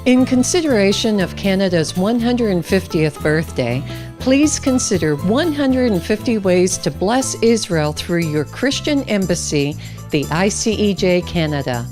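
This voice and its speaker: Woman announcer, lively pitch, scripted appeal, clear music underneath. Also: unhurried.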